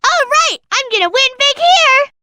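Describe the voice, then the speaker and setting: A performer voicing an excitable, cheeky little boy bee in a video game, squeaky, bubbly and eager. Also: moderate pace, no room sound, clean studio sound, heavily acted. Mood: gleeful. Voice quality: squeaky, shrill. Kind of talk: video game character acting.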